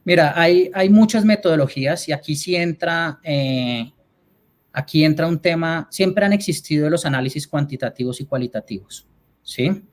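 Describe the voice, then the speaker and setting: A man's voice: fairly high pitch, normal speed. A man from Colombia with a Colombian accent, answering questions as a guest speaker in an explanatory way, often checking that he is followed. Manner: explanatory, frequent check-in tags. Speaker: advertising executive, guest speaker. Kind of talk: answering audience questions in an online talk.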